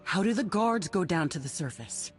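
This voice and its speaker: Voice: medium pitch, expressive, moderate pace, soft background music, close to an everyday voice. An actor voicing a determined young program hero in a video game, direct and urgent.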